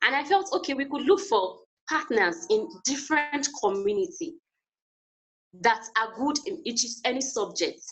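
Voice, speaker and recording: Woman, webinar speaker, earnest, encouraging, lively pitch, video call. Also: normal speed, low-quality recording. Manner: earnest, encouraging, repeats key phrases. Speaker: guest speaker. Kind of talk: inspirational webinar talk.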